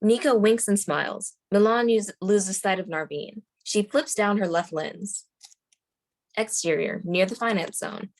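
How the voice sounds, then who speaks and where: Female table-read narrator, medium pitch, delivering scene headings and action lines from a script. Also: unhurried. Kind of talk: reading screenplay stage directions aloud.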